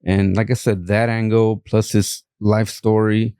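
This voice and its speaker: Mexican accent guy, mellow, lots of uh and um, dry podcast sound. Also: fairly low pitch, flat delivery, smooth, no echo. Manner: halting, many fillers and restarts. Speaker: art collector guest. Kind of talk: casual interview talk.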